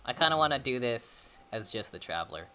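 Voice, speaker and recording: A man livestreaming a game with casual, rambling, excitable commentary, thinking aloud as he plays. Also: medium pitch, brisk.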